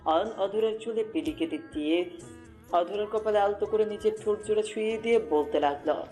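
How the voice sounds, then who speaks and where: A woman's voice: medium pitch, brisk, mellow, soft background music. A woman reads a scripted romantic story as its narrator.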